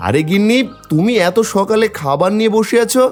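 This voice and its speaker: A man plays a shrewd, folksy cartoon villager. His slightly high voice swings expressively up and down, with music behind it. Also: animated, a little fast. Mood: pleased. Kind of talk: cartoon voice acting.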